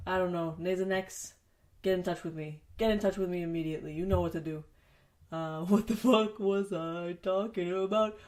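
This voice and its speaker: A woman's voice: on the low side, a little mellow. A woman hosting a solo podcast from a home basement studio, sounding nasal and sniffly with a cold and talking in a rambling, self-mocking way with some swearing. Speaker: podcast host. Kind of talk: solo casual podcast chat.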